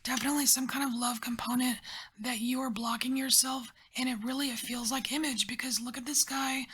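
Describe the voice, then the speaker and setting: A woman giving a whispered tarot reading, chatty, rambling and encouraging, her voice breathy and hushed throughout. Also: high-pitched, steady tone, a little fast, slight background noise. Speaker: tarot reader.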